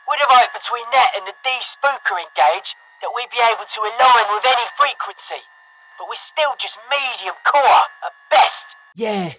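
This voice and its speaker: Slangy underground radio tinkerer. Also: male voice, high voice, a bit bright, heavily acted. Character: slangy young underground music scene radio tinkerer, man, dry and intense. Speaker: voice actor for a game character. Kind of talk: video game character voice lines.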